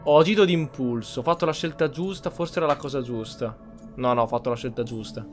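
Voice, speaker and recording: A man's voice: medium pitch, fast, a little mellow. An Italian man giving casual playthrough commentary as a gaming streamer. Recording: game music behind the commentary.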